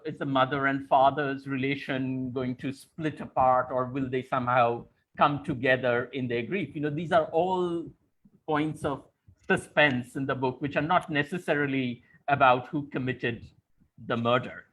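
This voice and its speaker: A man's voice: mid-range voice, normal speed, a bit bright, poor audio. A man interviewing in a literary conversation, articulate and thoughtful, building long explanatory questions. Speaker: interviewer and moderator.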